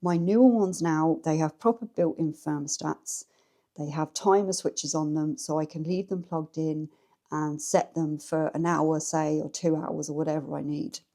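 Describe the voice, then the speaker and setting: A woman's voice: sits low, steady tone, moderate pace, mellow. A woman with an English accent chatting frankly to camera from her living room at home, talking straight to her viewers. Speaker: vlogger. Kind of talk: casual chat to camera. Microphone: camera set up in the room.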